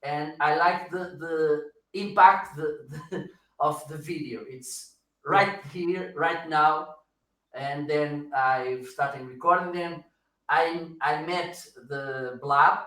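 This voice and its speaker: A Portuguese man with a Portuguese accent, a guest chatting on a live stream in his second language. He talks haltingly, searches for words and laughs often. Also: fairly high pitch, bright, forceful tone, recorded from a distance. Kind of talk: casual live-stream chat. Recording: roomy echo, slightly off-mic.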